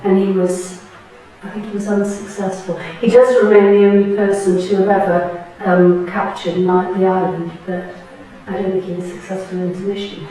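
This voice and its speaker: A woman of about sixty from Tyneside, thoughtfully recalling her memories in an oral history interview, pausing and restarting as she goes. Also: mid-range voice, level tone, warm tone. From Tyneside, England. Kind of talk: reflective personal reminiscence. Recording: very echoey room, distant mic.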